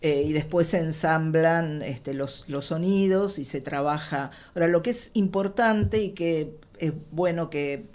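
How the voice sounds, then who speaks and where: Low-pitched Argentine woman explaining in detail. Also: unhurried, hardly any pauses, a little mellow. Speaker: radio drama writer, guest. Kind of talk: conversational explaining.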